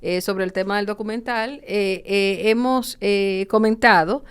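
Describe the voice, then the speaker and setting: A woman, hosting a podcast interview and often pausing on filler sounds. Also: medium pitch, clear, resonant tone. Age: 60s. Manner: hesitant, many filler sounds. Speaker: podcast host. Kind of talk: interviewing guests.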